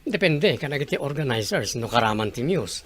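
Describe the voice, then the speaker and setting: A man's voice: mid-range voice, big pitch swings, fairly quick, a bit bright, a little hiss or hum. A man playing a supportive, encouraging father in an audio drama. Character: supportive, encouraging father talking with his daughter. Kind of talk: Ilocano audio drama acting.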